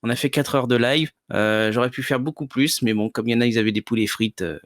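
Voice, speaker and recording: A man's voice: mid-range voice, fast. A man chatting casually as co-host of a web show. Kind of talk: casual chat.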